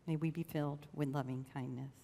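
A woman leading a guided meditation in a slow, gentle, soothing way with long pauses. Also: low-pitched, fairly even intonation, a little hiss or hum. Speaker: church meditation leader. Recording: long reverb of a large room.

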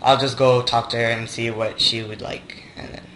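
A young man from Canada making a casual, deadpan prank call over the internet. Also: medium pitch, normal speed. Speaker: prank caller. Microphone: computer voice call. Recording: internet call with faint noise.

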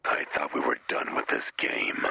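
A man playing a menacing cloaked loner vigilante in a video game, with a raspy growl and terse, melodramatic, formal lines. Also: rumbling low, fairly even intonation, normal speed, pushed and bright, heavily acted. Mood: brooding, curt. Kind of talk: scripted video game character dialogue.

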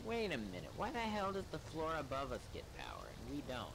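A man's voice: mid-range voice, huge pitch swings, fairly quick. A man giving casual, sardonic gameplay commentary, muttering and swearing as he plays. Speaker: gamer playing a role-play game. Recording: clear background noise, game ambience.

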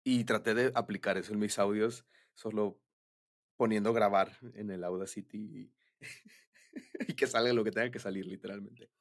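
A young Mexican man with a lowish, husky voice talks as a boyfriend in an intimate ASMR roleplay. He rambles casually and informally, with slang and a giggly manner. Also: fairly even intonation, normal speed. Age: young adult.

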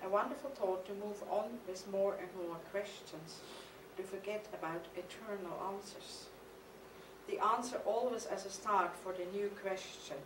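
A woman's voice: medium pitch, noisy background. A middle-aged woman with a German accent, reading a reflective lecture from a podium. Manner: measured, reflective reading with some hesitations. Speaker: artist giving a conference lecture. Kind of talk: reading a prepared lecture, partly poetic. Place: stage of a mid-sized studio hall. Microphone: podium mic on a stand. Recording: echoey hall, from the camera's distance.

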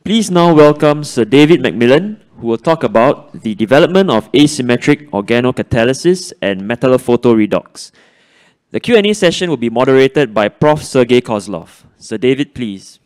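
A man's voice: mid-range voice, lively intonation, moderate pace, darkish tone. A young man as event host, reading a formal introduction from notes at a conference podium with a handheld mic. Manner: reads from notes.

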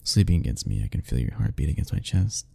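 Deep, gravelly, husky young man. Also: sing-song, quick pace, mellow. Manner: hesitant, tender, musing aloud. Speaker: ASMR roleplay performer. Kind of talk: soft-spoken ASMR roleplay.

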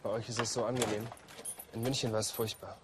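An actor playing an earnest, subdued young police trainee in a TV drama, with a wounded manner. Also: male voice, on the low side, little pitch movement, fast talker, lightly acted. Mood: subdued, bitter.

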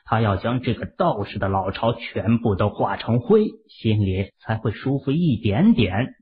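A man narrating a fantasy novel as an audio drama, with dramatic, expressive storytelling. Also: on the low side, a little slow, breathy edge. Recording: phone-like narrow-band sound.

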